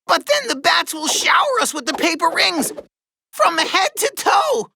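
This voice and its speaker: Tiny hyper cartoon woodpecker, super high n bright, eager, carefree, kinda crackly. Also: female voice, big pitch swings, measured pace, creaky, full character voice. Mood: excited. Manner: exclamatory, eager. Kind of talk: animated children's cartoon voice acting.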